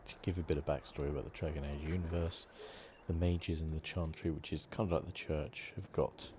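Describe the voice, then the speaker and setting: A man giving soft, relaxed ASMR commentary over a video game. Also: rumbling low, brisk. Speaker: gaming channel host. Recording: clear background noise. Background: game ambience.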